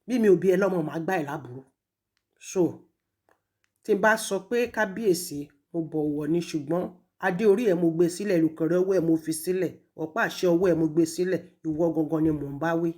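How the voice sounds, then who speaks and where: A woman's voice: fairly low pitch, rapid-fire, dark tone. A woman with a Nigerian accent delivering a confrontational monologue, scolding and taunting someone with heavy emphasis. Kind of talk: confrontational monologue addressed to an absent person, mixing two languages.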